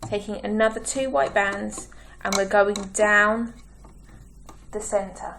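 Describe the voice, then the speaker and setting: A woman with a croaky throat, giving slow, patient step-by-step craft instructions as a tutorial host. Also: mid-range voice, little pitch movement, fairly bright tone, slight background noise. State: croaky, clearing her throat.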